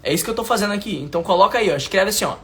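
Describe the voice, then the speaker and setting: A man's voice: sits high, lively intonation, very fast, fairly bright tone, faint music behind. A man with a Brazilian Portuguese accent, teaching a live class as a mentor, emphatic and informal, repeating his points and checking whether the audience follows. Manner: emphatic, repeats lines, asks the audience if it makes sense; informal slang, talks to viewers directly. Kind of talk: live online class lecture.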